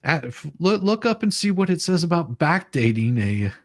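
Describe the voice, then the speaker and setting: A man's voice: fairly high pitch, sing-song, normal speed, dry recording. Rambling man hosting a livestream, dry sound. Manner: rambling, repeats phrases, trails off mid-sentence. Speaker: livestream host. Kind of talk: casual livestream chat and commentary.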